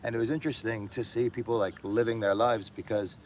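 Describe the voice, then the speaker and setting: A man's voice: lowish voice, fairly even intonation, fast talker, slight background noise. A man guest reflecting in casual conversation, thoughtful and hesitant, with many fillers. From diaspora Armenian. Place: indoors in Armenia.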